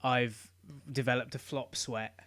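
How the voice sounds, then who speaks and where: A man co-hosting a podcast in casual chat, halting with many ums, still getting over an illness. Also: medium pitch, flat delivery, a bit bright, no echo. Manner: halting, lots of ums and uhs. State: recovering from illness. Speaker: podcast co-host.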